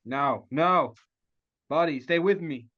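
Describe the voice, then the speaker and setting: A man's voice: a little high, takes it slowly, lo-fi sound. A man reading the part of a hot-headed, profane action-movie hero in a comedy table read. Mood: distraught.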